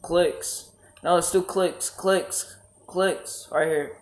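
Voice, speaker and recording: A man's voice: high-pitched, fairly even intonation, drawn-out words, reverberant. A teenage boy in casual voice chat while gaming, bossy and trash-talking. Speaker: gamer.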